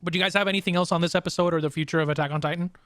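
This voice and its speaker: A man hosting a casual fan discussion, talking informally and excitably, often repeating phrases as he goes. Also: high-pitched, very fast, no echo. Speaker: podcast host.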